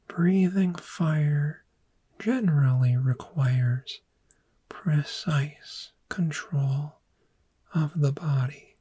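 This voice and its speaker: A woman playing a calm, gentle dragon therapist in a soft-spoken ASMR roleplay, speaking in a breathy, soothing whisper with long pauses. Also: sits low, full character voice. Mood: calm, reassuring.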